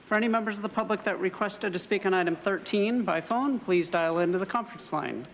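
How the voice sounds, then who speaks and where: A man reading out meeting procedure as clerk of the board, steady and formal. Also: sits high, fairly quick, in a large hall, slight background noise. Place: government board chamber.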